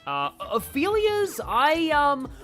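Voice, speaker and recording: A woman's voice: high-pitched, huge pitch swings, slow, fairly bright tone, soft background music. A woman live streaming a game, thinking aloud in a rambling, opinionated way as she chats with viewers. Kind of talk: casual gaming commentary, chatting with viewers.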